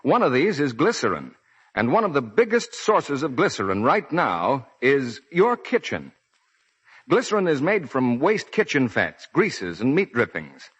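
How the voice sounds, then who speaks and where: Male American announcer, vintage 1940s radio; animated, polished, explanatory, slightly muddy and husky recording. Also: mid-range voice, unhurried. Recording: dull 1940s radio broadcast.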